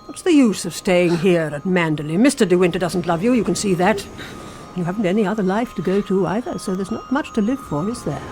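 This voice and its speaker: An actress playing an obsessive, menacing housekeeper in a radio drama, sneering and insistently coaxing. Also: female voice, on the low side, animated, brisk, a little mellow, faint music behind, close to an everyday voice. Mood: coaxing menace. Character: obsessive, menacing housekeeper, devoted to her dead mistress.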